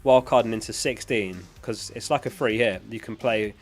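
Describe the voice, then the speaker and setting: A man's voice: lowish voice, moderate pace. A man chatting casually as a livestream host, reading out and answering viewer questions in a matter-of-fact way, his voice starting to give out from being unwell. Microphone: close dynamic studio microphone.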